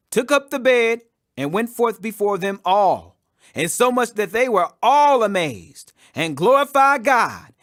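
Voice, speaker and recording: A man with a strikingly high voice reads a Bible chapter aloud. He reads earnestly and steadily, lifting his voice whenever he speaks the quoted words. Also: a bit bright. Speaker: scripture reader.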